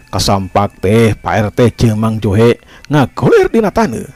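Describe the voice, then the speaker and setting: A man's voice: mid-range voice, darkish tone, slightly rough. A man with a Sundanese accent narrating a folk tale as a theatrical storyteller, using dramatic vocal effects.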